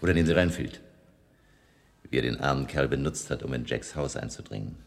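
A man playing a learned older vampire hunter in a German radio drama, commanding and intense, lecturing with hushed emphasis. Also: rumbling low, a little fast, gravelly. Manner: emphatic, lecturing, dropping to whispers.

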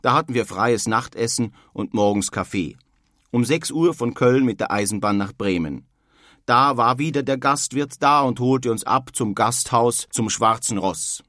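A man's voice: medium pitch, a little slow, fairly bright tone, dry recording, studio quality, close to an everyday voice. An actor playing a plain-spoken German emigrant who earnestly reads his old-fashioned letter home in a radio feature. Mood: earnest recounting. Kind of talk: dramatised reading of historical letters.

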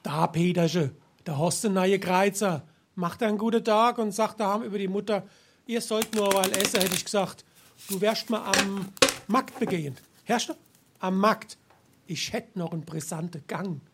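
A man playing a grumbling, henpecked family father in a Darmstadt Hessian dialect tavern comedy, exasperated and husky. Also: high-pitched, moderate pace, background noise. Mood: paternal. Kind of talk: dialect stage comedy acting.

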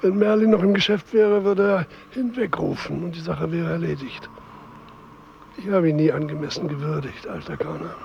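Bumbling king, crackly high-set voice, pondering, radio play, dull faintly noisy sound. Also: moderate pace, creaky. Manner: pondering aloud. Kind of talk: radio drama dialogue.

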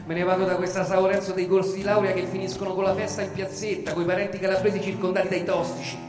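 A man with a high voice and a Roman accent delivers a satirical stage monologue for radio. His delivery is an emphatic, repeating litany. The space rings with long reverb, and music plays behind him. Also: level tone, fast talker. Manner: emphatic, repetitive litany delivery swinging from murmur to shouting. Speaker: monologue performer and author.

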